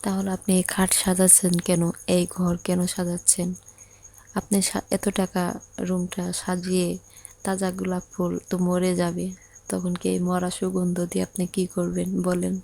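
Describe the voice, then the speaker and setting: Woman acting a plaintive, flat-toned young bride. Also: lowish voice, normal speed, creaky. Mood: hurt, reproachful. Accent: Bangladeshi. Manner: plaintive, insistent, pleading. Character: lovesick, pleading young bride left alone. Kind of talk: Bengali audio story acted as a phone conversation.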